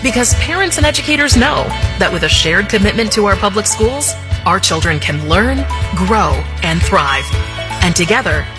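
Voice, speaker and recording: A woman's voice: medium pitch, lively intonation, moderate pace, music in the background. A woman narrating a scripted public-service radio advert.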